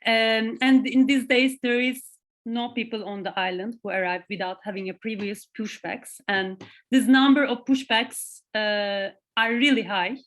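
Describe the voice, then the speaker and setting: A woman's voice: on the high side, steady tone, a little slow, fairly bright tone. A woman moderating a panel, explaining steadily and handing the floor to other speakers. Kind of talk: presentation.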